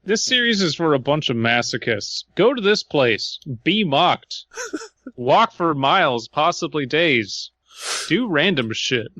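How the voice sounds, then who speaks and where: A man with a bright, forceful voice co-hosts in casual style, reading aloud and tossing in dry, joking remarks. The sound is close, with no room echo. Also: on the high side, very animated, takes it slowly, highs cut off. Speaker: podcast co-host.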